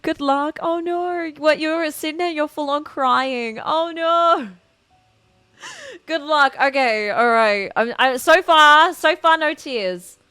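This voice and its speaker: A woman's voice: sits high, slow pace, sharp tone, no room sound. A woman livestreaming a game on a close mic, reacting casually with gushing, affectionate exclamations as she chats to viewers. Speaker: game streamer. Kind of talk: casual live playthrough reactions. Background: faint music.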